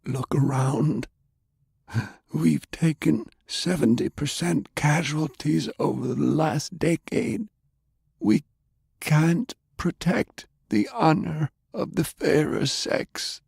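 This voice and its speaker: Man acting out a gravelly, strained old war leader. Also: fairly high pitch, slow pace, a little mellow, dry recording, studio quality, pitched above the performer's own voice, full character voice. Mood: grim, urgent. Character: Pershing, ailing old male war leader, stern and ruthless. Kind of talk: audiobook character acting.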